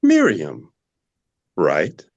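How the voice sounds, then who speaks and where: A man acts out a brooding male patient in a dramatic script reading. He is slow and deliberate, probing with halting pauses in a very low, unsettling voice, recorded close and dry. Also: wildly swooping pitch, vocal fry, darkish tone, breathy edge, dry recording, muffled recording, heavily acted. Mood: polite, reserved. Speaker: actor in a script table read.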